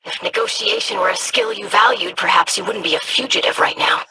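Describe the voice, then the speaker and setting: An actress voicing a poised, sharp-tongued female noble ally in a video game, with dry, formal wit. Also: medium pitch, fairly quick, very noisy, close to an everyday voice. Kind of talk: video game character dialogue.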